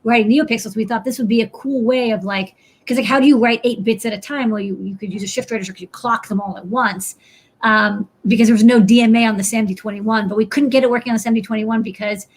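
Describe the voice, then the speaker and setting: A woman's voice: on the high side, fairly even intonation, fast, slightly rough. A woman hosting a casual chat about electronics, with an encouraging, enthusiastic manner. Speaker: show host.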